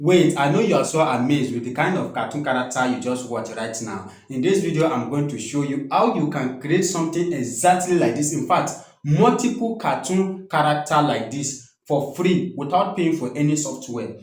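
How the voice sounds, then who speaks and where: A man's voice: fairly high pitch, lively intonation, normal speed, hardly any pauses. Young man hosting a step-by-step tutorial. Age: young adult. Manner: repetitive, instructional. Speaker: tutorial host. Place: small indoor home studio. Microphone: desktop condenser microphone close in front.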